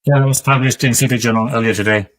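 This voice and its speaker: A man in his sixties from Sweden, speaking with a Swedish accent, explaining his research in a measured way as a guest on a video call. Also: mid-range voice, fairly even intonation, a bit bright. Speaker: guest, epidemiologist. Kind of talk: interview answers over video call. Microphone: webcam on video call.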